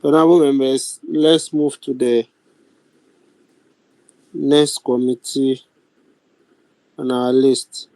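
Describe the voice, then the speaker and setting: A man with a Ghanaian accent chairing a youth parliament sitting in a formal, deliberate, halting way on a video call. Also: medium pitch, flat delivery, dark tone, dry recording, rough recording. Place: virtual meeting over video call.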